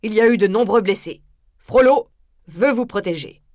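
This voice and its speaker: A woman voicing a stern male town herald who makes a loud, formal proclamation in a storybook reading. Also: medium pitch, normal speed, no room sound, clean studio sound, lightly acted. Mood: proclaiming.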